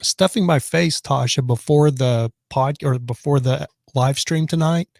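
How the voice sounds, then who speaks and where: A man hosting a live stream from his office, reading viewer comments and rambling in casual chat, his voice raspy from choking on his dinner. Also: mid-range voice, unhurried, a little mellow, dry recording, clean studio sound. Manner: rambling and chatty, many restarts.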